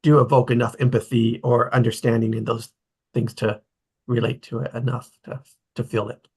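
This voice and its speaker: A man chatting casually in a group, rambling and halting, with a husky, slightly gravelly voice. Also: medium pitch, flat delivery, a little mellow.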